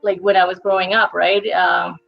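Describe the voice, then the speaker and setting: A woman's voice: fairly low pitch, flat delivery, gravelly, soft background music. Hesitant young woman from Bangladesh. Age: young adult. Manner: hesitant, halting phrasing. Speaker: interview guest. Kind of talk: casual personal storytelling. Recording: dull lo-fi audio.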